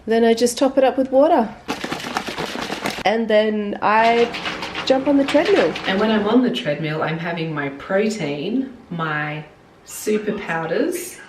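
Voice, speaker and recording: A woman, a little croaky from illness, narrating her daily routine in a soft, unhurried, reflective way. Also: medium pitch, lively intonation, background noise. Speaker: lifestyle vlogger. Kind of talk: casual voice-over narration. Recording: voice-over with loud background music.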